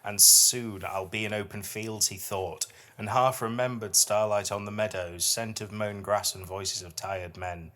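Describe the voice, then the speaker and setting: A man's voice: lowish voice, fairly even intonation, a little slow, hardly any pauses, fairly bright tone. A middle-aged British man hosting a podcast, chatting casually with a wry, self-deprecating touch and reading poetry dramatically. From United Kingdom. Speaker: podcast host and dad.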